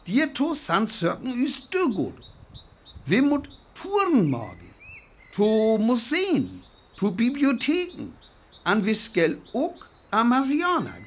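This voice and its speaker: A man playing a zealous, grandiose survivor scheming to seize war machines in a radio play, with a North Frisian accent, swinging from hushed to exclaiming. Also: high voice, heavily acted.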